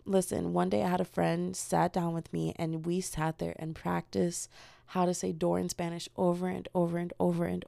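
Young american woman rambling on her podcast, a bit of vocal fry, pretty low, dry close sound. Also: dark tone, no room sound. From United States. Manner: rambling, self-deprecating, giggly. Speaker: podcast host. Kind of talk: solo podcast chat.

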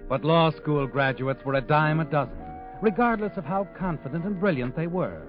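An actor playing a sardonic, all-knowing narrator in a 1940s radio crime drama, dramatic, deliberate and needling. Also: male voice, on the high side, music in the background, close to an everyday voice. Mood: knowing, ominous. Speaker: host and narrator. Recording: tinny narrow-band old radio recording, musical backing.

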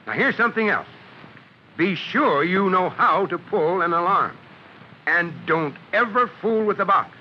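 A man playing an American fire chief, instructing children deliberately and emphatically in an old-time radio address on a muffled recording. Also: high-pitched, lively intonation, slight background noise. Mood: instructive. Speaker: safety-notice presenter.

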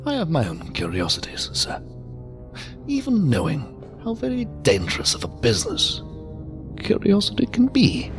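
An actor playing a cryptic, menacing stranger in an audio drama, speaking in formal, ornate, deliberate phrases. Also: mid-range voice, huge pitch swings, music in the background. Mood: sly, teasing.

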